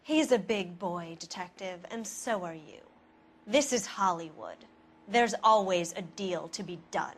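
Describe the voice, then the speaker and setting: An actress playing a guarded, cynical producer's wife in a 1940s noir game, speaking in a measured, evasive, world-weary way. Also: female voice, on the low side. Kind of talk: film noir game acting.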